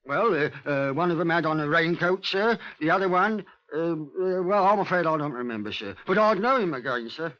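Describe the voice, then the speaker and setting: An actor playing an eager, deferential porter in a 1950s radio drama, speaking colloquially. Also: male voice, on the high side, moderate pace, few pauses, lightly acted. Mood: eager, indignant. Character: eager cockney porter, working-class witness, deferential. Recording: dull old radio recording.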